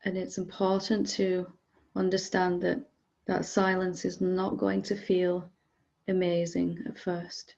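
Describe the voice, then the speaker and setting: Mellow, even-toned woman guiding meditation. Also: fairly low pitch, gravelly, muffled recording. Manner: gentle, calm, unhurried with long pauses. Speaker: meditation teacher. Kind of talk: guided meditation, softly instructive.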